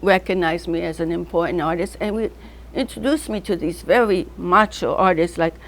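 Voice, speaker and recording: Older woman from north africa, lowish voice, rambling through old stories, laughs a lot. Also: normal speed. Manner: rambling, loose storytelling that drifts between names and memories. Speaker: artist being interviewed. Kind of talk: casual reminiscing interview.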